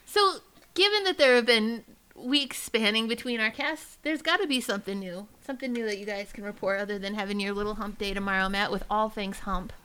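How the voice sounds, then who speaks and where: A woman's voice: mid-range voice, fairly quick, fairly bright tone, clean tone, low-quality recording. A woman co-hosting a podcast, chatting casually and prompting the others with playful questions and suggestions. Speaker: podcast co-host.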